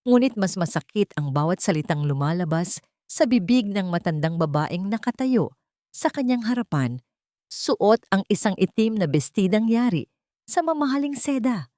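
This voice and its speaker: A woman's voice: medium pitch, lively intonation, moderate pace, warm tone, no room sound, studio quality. A woman audiobook narrator reading a scripted story in a dramatic storytelling style.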